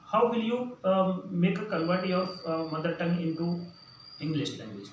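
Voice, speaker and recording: A high-voiced man with an Indian accent, a Hindi native speaker, teaches English in a slow, hesitant way, full of "uh" and restarts. He sits away from the mic in a very echoey room. A faint hiss runs under his voice. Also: distant mic, highs cut off. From central India. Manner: hesitant, many fillers and restarts. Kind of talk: spoken English lesson.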